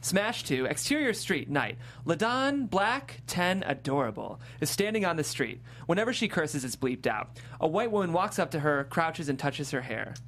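Animated woman hosting and narrating. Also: deep voice, normal speed, few pauses, a little hiss or hum. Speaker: host and stage-direction reader. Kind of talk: enthusiastic show hosting and scripted narration.

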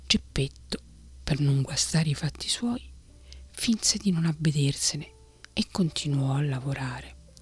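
A woman reading a children's story aloud in a soft, unhurried whisper. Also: low-pitched, breathy edge.

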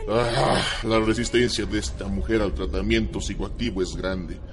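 A man's voice: lowish voice, fairly even intonation, normal speed, slight background noise, lightly acted. A man playing a cold, clinical interrogator in a radio drama. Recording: music bed behind voice.

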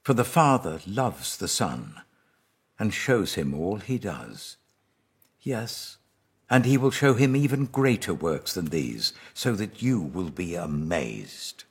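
A man acting out a grave, commanding holy teacher in a scripture reading, with a very deep, husky British voice. He speaks slowly and deliberately, with solemn authority. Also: very animated, heavily acted. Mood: emphatic declaring.

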